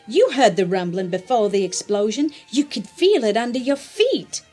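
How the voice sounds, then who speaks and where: A woman's voice: a little high, animated, moderate pace, warm tone, faint music behind. A woman playing a defiant, determined character in an audio drama, blunt and confrontational. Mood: determined anger.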